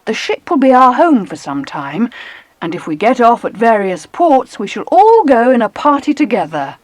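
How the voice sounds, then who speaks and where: Firm cheery mum, very lively pitch, dry sound, kids' audio drama. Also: female voice, dry recording. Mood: cheerful, enthusiastic. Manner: brisk, instructing, motherly. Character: firm, cheerful mother taking children on holiday. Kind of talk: dramatised children's audiobook acting.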